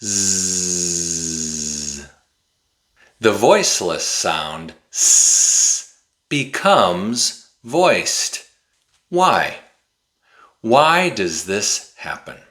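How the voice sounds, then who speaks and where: A man in his forties giving an English pronunciation lesson to camera, enunciating deliberately and carefully like a teacher. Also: fairly low pitch, sing-song, fairly bright tone. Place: small indoor room with bookshelf.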